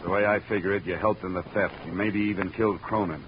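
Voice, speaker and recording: An actor playing a hard-boiled, wry insurance investigator, dry and clipped, in 1950 radio detective drama. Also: male voice, deep voice, fairly even intonation, fast, natural voice. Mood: tough, accusing. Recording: muffled, noisy vintage radio recording.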